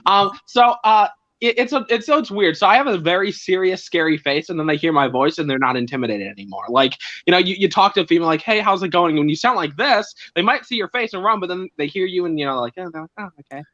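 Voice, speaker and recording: A man's voice: sits high, expressive, fast, fairly bright tone, dry recording, lo-fi sound. A young man on a video call joking about himself and how young his voice sounds, in a self-deprecating way. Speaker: panel guest. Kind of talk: casual self-mocking chat.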